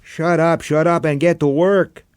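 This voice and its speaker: A man's voice: a little high, fairly even intonation, a little slow, darkish tone, smooth, dry recording, studio quality, pitched above the performer's own voice, natural voice. Man acting a brash, raised-voice cynical beachcomber. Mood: angry, domineering. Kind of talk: dramatic audiobook character voice.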